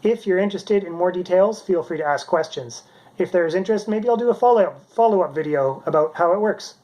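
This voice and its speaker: A man's voice: high-pitched, brisk, a little mellow. A middle-aged man casually narrating a demonstration as a hobbyist maker. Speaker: maker presenting his homemade robot.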